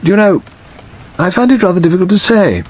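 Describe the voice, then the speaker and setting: Man voicing a plaintive, wavering gentleman, swooping pitch. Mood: fretful, reflective. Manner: hesitant, halting, self-qualifying. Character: weak, plaintive middle-aged English gentleman, hesitant, irritable, wistful. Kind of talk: dramatised audiobook reading. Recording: dull audio under steady rain noise.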